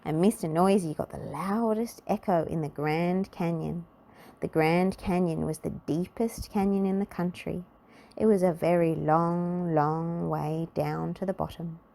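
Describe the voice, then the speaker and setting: A woman narrating a children's storybook aloud in an expressive, measured storytelling manner. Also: on the low side, vocal fry.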